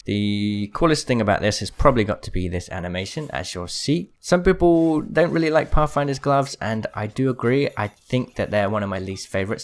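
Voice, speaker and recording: Low-voiced man doing gameplay commentary. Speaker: gaming video creator. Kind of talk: casual explanatory gameplay commentary.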